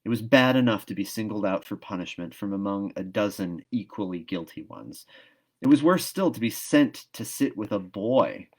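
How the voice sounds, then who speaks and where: A man reading a novel aloud as narrator, with deliberate, dramatic emphasis. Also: lowish voice, darkish tone. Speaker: storybook reader. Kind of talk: expressive audiobook-style narration.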